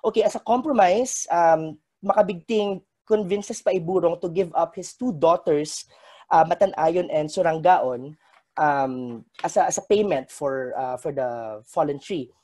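Man lecturing in a mellow voice. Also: a little high. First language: Hiligaynon. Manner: measured, scholarly lecturing with frequent pauses. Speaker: academic presenter. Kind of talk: conference paper talk. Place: indoor room. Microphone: video call webcam.

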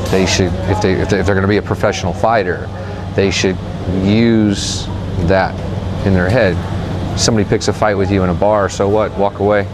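A man in his thirties being interviewed at an open-air training gym, talking in a halting way with many restarts. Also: low-pitched. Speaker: gym staff member. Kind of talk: on-camera interview. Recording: outdoor noise behind the voice.

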